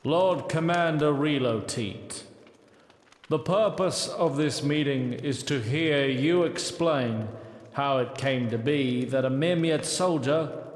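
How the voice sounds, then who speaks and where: An actor playing a haughty, centuries-old elf councillor in a fantasy audio drama, speaking in formal, stately oratory. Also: male voice, medium pitch, slow pace, close to an everyday voice. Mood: stern, haughty. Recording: echoey great stone hall reverb.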